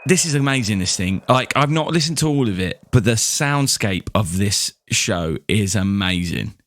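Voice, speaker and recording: A man's voice: fairly low pitch, lively intonation, normal speed, fairly bright tone, a little hiss or hum. A man hosting a podcast, chatting casually and with effusive enthusiasm. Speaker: podcast host. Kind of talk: casual podcast interview chat.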